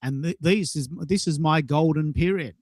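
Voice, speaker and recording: A man's voice: on the high side, normal speed, darkish tone, dry recording, clean studio sound. A man in his sixties hosting a conversational round-robin talk show, putting questions to guests. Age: 60s. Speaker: show host.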